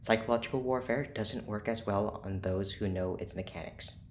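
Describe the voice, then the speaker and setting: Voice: medium pitch, moderate pace, slight background noise, natural voice. An actor in an audio drama playing a cool, controlled scientist who speaks formally and precisely. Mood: cool, pressing. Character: cool, clinical scientist doctor, controlled and persuasive. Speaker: scientist running experiments.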